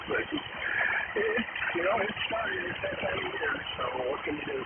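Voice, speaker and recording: A man from the northern United States chatting casually over ham radio, in a folksy, unhurried way. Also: very high-pitched, huge pitch swings. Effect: radio transmission. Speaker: ham radio operator calling in. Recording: narrow radio link, very loud static.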